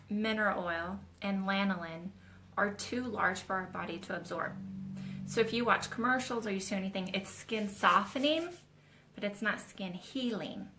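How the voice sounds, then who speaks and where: This is a woman hosting a live question-and-answer session for nail techs. Her voice is bright and forward, and she talks in a loose, chatty teaching style, explaining as she demonstrates on her own nails. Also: mid-range voice, a little hiss or hum. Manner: chatty, demonstrates while talking, addresses viewers as "you guys". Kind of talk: casual live-stream teaching.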